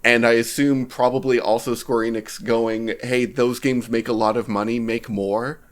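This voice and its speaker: Male co-host, medium pitch, casual and sardonic, delivering wry joking asides. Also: level tone, moderate pace. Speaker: podcast co-host. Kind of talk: casual chat, wry commentary.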